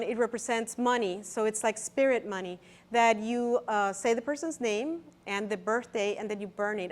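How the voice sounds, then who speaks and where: High-pitched, halting woman. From El Salvador. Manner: halting, nervous, searching for words. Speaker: artist presenting own exhibition. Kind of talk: informal gallery talk, explaining artworks to visitors.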